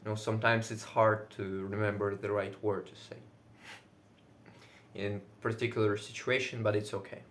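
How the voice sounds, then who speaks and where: A man whose first language is Russian, speaking English with a Russian accent in casual solo talk, now and then pausing to search for words and swearing lightly. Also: lowish voice, flat delivery, a little hiss or hum. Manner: says he is loud most of the time. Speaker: video creator.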